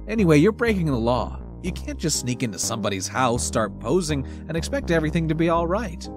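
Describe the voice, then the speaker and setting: A man's voice: fairly high pitch, very animated, a little fast, music in the background, voice pushed up. A man voicing a flustered, indignant homeowner in an audio short story. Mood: flustered pleading. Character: flustered, indignant male homeowner narrating his absurd ordeal.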